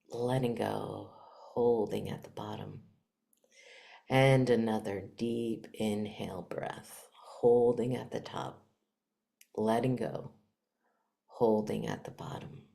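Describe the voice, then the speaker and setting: A woman with a very deep voice guides a meditation at an extremely slow pace. Her tone is dark and mellow, and she speaks in hushed, short phrases, leaving long pauses between them. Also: vocal fry. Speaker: meditation teacher. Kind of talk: guided meditation, spiritual talk.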